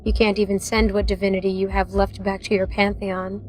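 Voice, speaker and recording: A woman's voice: mid-range voice, level tone, faint music behind, natural voice. A woman playing a giant death goddess assassin in an audio drama, with an edged, coldly confident, taunting delivery. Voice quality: edged, sharp. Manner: cool, taunting, unhurried menace.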